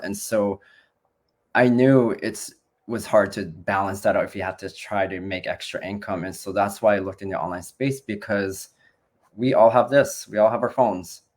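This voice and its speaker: A man in his forties, born in the Philippines, speaking on a video call about his online business in a relaxed way, with many fillers and restarts. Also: deep voice. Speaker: guest business partner. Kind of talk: casual online business chat.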